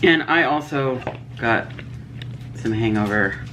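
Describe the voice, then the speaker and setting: A woman with a strikingly deep voice talks casually through a beauty subscription box. She is a bit sniffly, reading out labels and prices in a chatty way. Steady background noise sits under her voice. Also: slow. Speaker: beauty vlogger. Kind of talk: casual unboxing chat.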